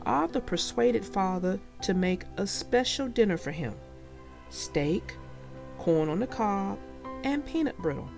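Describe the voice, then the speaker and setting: Animated, unhurried woman reading to children. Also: medium pitch, vocal fry, music in the background. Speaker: channel host and children's story narrator. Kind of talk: read-aloud storybook narration for young children.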